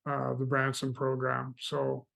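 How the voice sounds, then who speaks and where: A man from Canada, a guest in an interview, telling stories about mentoring in a relaxed, meandering way. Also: mid-range voice, a little slow, gravelly. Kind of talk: casual interview chat.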